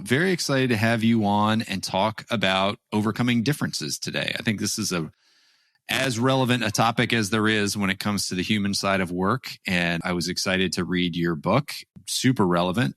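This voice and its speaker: A man's voice: lowish voice, normal speed, a bit bright, dry recording, clean studio sound. A man hosting an interview podcast, introducing his guest and asking questions in an earnest, upbeat way. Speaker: podcast host.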